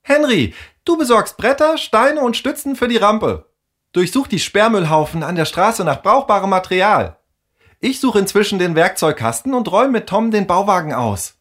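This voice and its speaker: A man's voice: high-pitched, sing-song, fairly bright tone, voice pushed up, exaggerated voice. Tessa, a man putting on a bossy young girl. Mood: bossy, eager. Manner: eager, quick to take charge.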